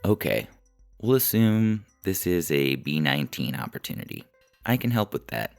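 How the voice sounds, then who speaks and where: A man acts out an officious male support agent in a sci-fi story. He is polite, scripted and completely unruffled, with a gravelly voice and music clearly heard behind him. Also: low-pitched, normal speed, full character voice. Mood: methodical. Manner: scripted, bureaucratic, politely deadpan.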